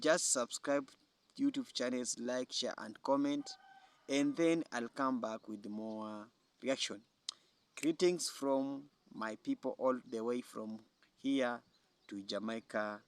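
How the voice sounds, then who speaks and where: Slow-paced man hosting a reaction channel. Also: medium pitch. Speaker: reaction video host. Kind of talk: casual presenting to camera.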